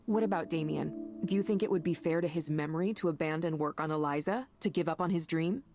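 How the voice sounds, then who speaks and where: An earnest, idealistic woman with a slightly low voice in a visual novel. She speaks conversationally and with conviction, as if defending work she believes in. Faint music plays behind her. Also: fast talker. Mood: impassioned, pleading. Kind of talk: visual novel game voice acting.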